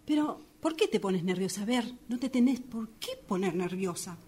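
A woman's voice: medium pitch, lively intonation, normal speed, mellow, breathy edge. A woman playing a domineering, short-tempered, violent woman in a radio drama, with an Argentine accent and a mocking, theatrical manner. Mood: needling, indignant. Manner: theatrical, mocking, erupting into threats.

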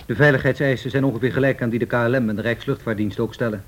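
An actor playing a decisive 1930s airline captain in a radio drama, matter-of-fact and in command. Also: male voice, mid-range voice, fairly even intonation, rapid-fire, natural voice. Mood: businesslike. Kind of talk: Dutch radio drama acting. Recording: dull, lo-fi radio recording.

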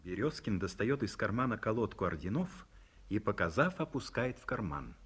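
Male narrator, low and husky, reads stage directions plainly for a 1950s radio play. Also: sing-song, moderate pace.

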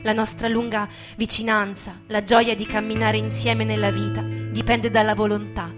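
A woman's voice: mid-range voice, little pitch movement, normal speed. A woman giving an earnest, lyrical theatrical reading. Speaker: stage performer. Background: plucked guitar music.

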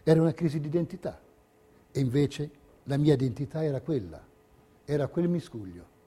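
An actor playing a narrator who recounts history and memories in scripted documentary theatre. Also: male voice, a little high, animated, a little fast, dark tone, slightly rough. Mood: evocative. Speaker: stage actor.

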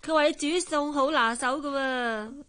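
A haughty, high-voiced spoiled rich woman. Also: measured pace, sharp tone. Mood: spiteful, accusing. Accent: Hong Kong. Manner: sneering, imperious, coquettish. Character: haughty, spiteful young rich woman, jealous girlfriend. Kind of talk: Cantonese radio drama acting.

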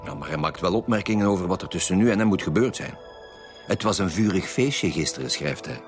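A man's voice: sits low, expressive, a little fast, soft background music. A man playing a blunt, impatient police commissioner with a Flemish accent in a radio drama. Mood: probing, insistent. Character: gruff, insistent male police commissioner leading homicide detectives. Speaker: actor in a radio detective serial.

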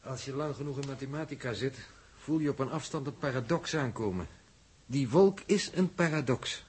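Brainy space mathematician, really husky mid voice, deliberate n technical, old sixties radio play. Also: male voice, mid-range voice, darkish tone. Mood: puzzled, precise. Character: analytical male spaceship mathematician, puzzled and precise. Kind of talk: 1960s radio drama acting. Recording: old 1960s radio drama recording.

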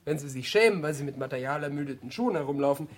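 A man's voice: a little high, animated, very fast. An actor playing a curious, mildly sceptical man who asks short probing questions and gives dry replies in a radio play. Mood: dry, doubtful. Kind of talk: German radio play, conversational acting.

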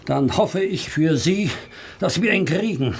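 An actor playing a stern, exasperated police inspector in a radio drama, demanding and explosive. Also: male voice, a little high, lively intonation, measured pace. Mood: grim, angry.

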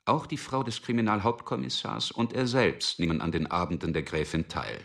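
A man narrating a radio drama from a script in a measured, sober manner. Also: on the low side, fairly even intonation, creaky, a bit bright.

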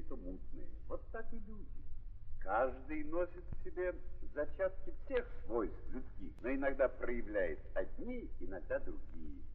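An actor playing the solemn narrator of a tragic novel in a 1930s Russian radio drama, with declamatory, weighty phrasing. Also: male voice, medium pitch. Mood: philosophical, emphatic. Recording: muffled 1930s radio recording, long reverb.

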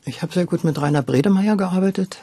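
An older woman, a former radio drama director, talking reflectively and a little haltingly in an interview, repeating words for emphasis. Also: on the low side, warm tone, husky, dry recording.

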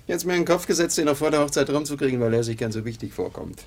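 A man's voice: a little high, lively intonation, racing, darkish tone. An actor playing a boastful, easygoing young womaniser talking colloquially with his brother in a 1950s German radio play. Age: young adult.

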